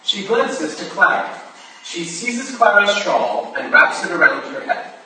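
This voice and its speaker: A man's voice: high voice, very animated, measured pace, bright, forceful tone. A man reading stage directions as scripted narration at a staged reading. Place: roomy hall at a live reading. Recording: echoey room from far off, noisy.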